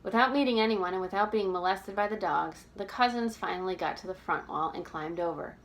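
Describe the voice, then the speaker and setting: A woman's voice: medium pitch, fairly quick, poor audio. Woman narrating a novel aloud. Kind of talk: expressive storybook narration.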